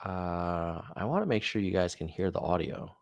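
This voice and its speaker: A man's voice: deep voice, animated, normal speed, gravelly, darkish tone, no echo, muffled recording. A man hosting a casual news commentary show, talking in a chatty way with offhand asides.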